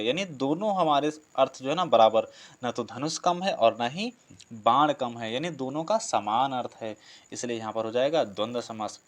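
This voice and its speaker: A man from teaching like a coaching instructor, posing questions and explaining answers with frequent repetition. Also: mid-range voice. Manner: explanatory, repeats and rephrases answers, asks himself questions. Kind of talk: Hindi grammar lesson, working through practice questions.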